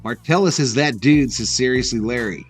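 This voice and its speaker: A man's voice: medium pitch, normal speed, faint music behind. A man hosting a live sports stream, casually calling plays and chatting with viewers in an informal, laid-back way. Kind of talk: casual fan play-by-play and chat reading.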